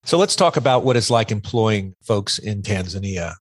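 A man's voice: fairly low pitch, expressive, moderate pace, no echo. A man hosting a podcast interview, asking questions in a friendly, conversational way. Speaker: podcast host.

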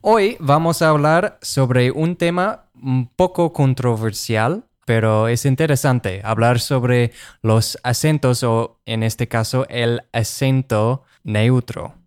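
Give me a man speaking as a second-language podcast co-host, slow and smooth-voiced. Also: medium pitch, dry recording, studio quality. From United States. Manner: hesitant, searching for words with fillers. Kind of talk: casual chat for learners.

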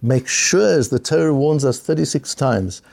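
A man's voice: mid-range voice, expressive, normal speed, vocal fry, darkish tone. A middle-aged man teaching a Talmud class in an earnest, repeating way, with Hebrew and Yiddish terms in his speech.

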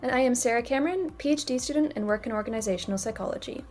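A woman's voice: a little high, rapid-fire, a little mellow. A woman co-hosting a podcast, a doctoral student, politely introducing the show and asking questions. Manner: encouraging and polite. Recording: faint music underneath.

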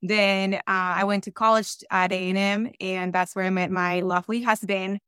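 Colombian-accented woman, smooth clear voice. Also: mid-range voice, little pitch movement, normal speed, a bit bright, dry recording, clean studio sound. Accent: Colombian Spanish. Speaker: podcast guest, real estate investor. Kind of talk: conversational interview answers.

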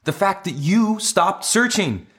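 A persistent romantic man with a breathy, husky edge to his voice. He sounds sincere and imploring. The acting is natural and intimate, as in an audio play. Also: high voice, very animated, slow, a bit bright, full character voice. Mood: earnest, gentle. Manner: sincere, pleading.

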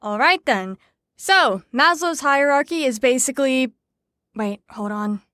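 A woman's voice: high-pitched, lively intonation, unhurried, pushed and bright, dry recording, full character voice. A woman playing a sarcastic, breezy psychology teacher in an audio drama, with a mock-cheerful manner. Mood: explaining.

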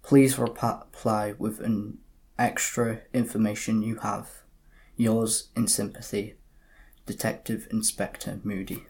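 Man as a sober, even-toned police inspector. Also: fairly low pitch. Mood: sober, formal. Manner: measured, formal. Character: calm, sober male police detective inspector. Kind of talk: community audio drama narration and dialogue.

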